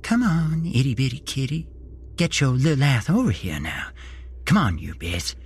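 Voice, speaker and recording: A man's voice: mid-range voice, sing-song, normal speed, breathy edge, pitched above the performer's own voice, full character voice. A man voicing a giant, creepy homeless man, timid and childishly gleeful, with a lisp and a sing-song coaxing delivery. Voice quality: lisping.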